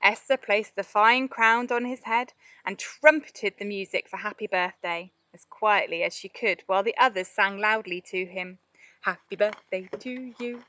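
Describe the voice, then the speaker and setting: Bright-voiced woman reading a children's story. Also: mid-range voice, steady tone, moderate pace, no echo. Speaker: storybook reader. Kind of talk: expressive scripted children's narration.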